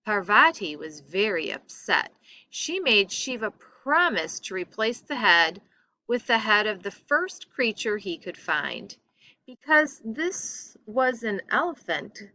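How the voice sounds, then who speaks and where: A woman teacher reads a lesson aloud at an unhurried, slow pace. Her voice is bright and clearly projected, at a medium pitch. She phrases carefully and deliberately, as if guiding students through a text. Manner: careful, deliberate reading, stumbles over foreign names. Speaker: teacher reading a textbook aloud. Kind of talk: read-aloud lesson narration.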